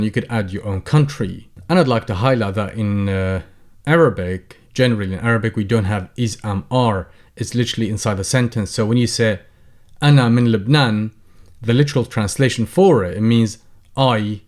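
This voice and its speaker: A man who runs a language channel. He teaches beginners, reading each phrase aloud, translating it and explaining it slowly. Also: fairly low pitch, darkish tone. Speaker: Arabic teacher. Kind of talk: slow explanatory tutorial.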